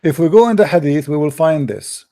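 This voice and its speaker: A man's voice: fairly high pitch, fairly quick, dark tone. A man hosting a live debate stream, lecturing in an emphatic, argumentative way. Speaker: livestream debate host. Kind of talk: lecturing religious debate talk.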